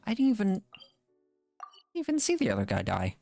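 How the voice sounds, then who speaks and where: A man's voice: high-pitched, huge pitch swings, normal speed, vocal fry, a little mellow, faint music behind. A man giving casual gameplay commentary as a streamer, thinking aloud and muttering to himself. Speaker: game streamer.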